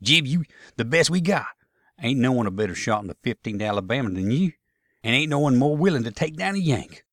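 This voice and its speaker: A man voicing a gruff, pushy Confederate soldier with a Southern drawl, scolding his comrade in a story reading. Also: medium pitch, very animated, fairly bright tone, dry recording, studio quality, voice pushed up. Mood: insistent, scolding. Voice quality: slow drawl. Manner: insistent, scolding, folksy.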